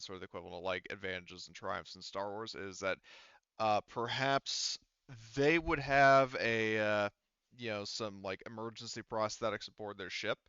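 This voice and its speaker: Low, smooth-voiced man running a tabletop game. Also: fairly bright tone, no echo, highs cut off. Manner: hesitant, halting phrasing as he improvises. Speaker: game master. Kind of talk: improvised narration of a tabletop roleplaying game.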